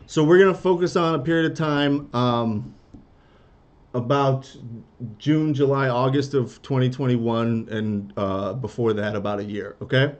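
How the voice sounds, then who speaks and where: A man's voice: medium pitch, a little slow. A male attorney questions a witness over a video call, often breaking off and restarting his questions. The sound is muffled and dull, as if through a lo-fi connection.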